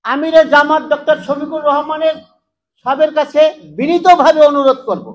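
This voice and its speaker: A man's voice: very high voice, normal speed. A man making a formal spoken appeal.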